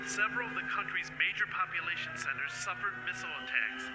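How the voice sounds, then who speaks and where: High-voiced, grave radio newsman over loud music. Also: lively intonation, low-quality recording. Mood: grave, urgent. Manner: formal, dramatic, measured. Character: grave live news broadcaster facing his own doom. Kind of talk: solemn radio news report in vintage drama.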